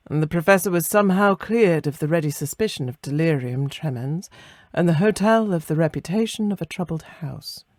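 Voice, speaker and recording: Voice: medium pitch, a little mellow, no echo. Dry, close storyteller reading a ghost story. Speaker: narrator, story reader. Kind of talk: scripted narration of a classic ghost story.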